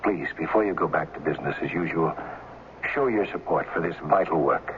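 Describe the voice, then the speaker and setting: A man's voice: very deep voice, a little fast, a bit bright. A man reading an earnest, solemn scripted public service appeal as a radio announcer. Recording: tinny, hissy old radio broadcast.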